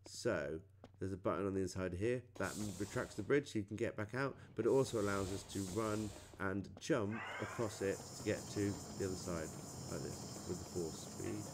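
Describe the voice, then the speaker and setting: A man's voice: lowish voice, moderate pace, background noise. A man with a British accent giving a casual, chatty game walkthrough, thinking aloud as he plays. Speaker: gamer recording a playthrough.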